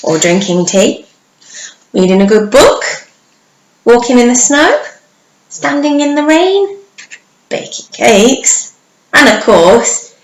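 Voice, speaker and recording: A woman reads a children's storybook aloud in a slightly high voice. She takes it slowly, stretching words and pausing for effect. The room is echoey, with faint noise behind her. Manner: measured, dramatic pauses and drawn-out words for young listeners. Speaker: primary school teacher reading a story.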